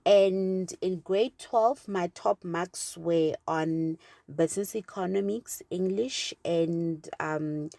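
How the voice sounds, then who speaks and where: A young woman with a South African accent whose first language is Sepedi speaks in a low, steady voice. She answers interview questions about her career earnestly and thoughtfully, with frequent small fillers as she reflects. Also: slow, vocal fry, few pauses. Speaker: internal auditor.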